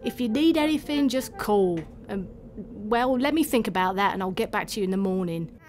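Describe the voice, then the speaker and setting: A woman's voice: medium pitch, lively intonation, moderate pace, close to an everyday voice. A woman voicing a blunt but kindly male elf with a temper, rambling and chatty in an audio storybook. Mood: grudgingly kind. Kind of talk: character acting in an audio storybook. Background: loud music.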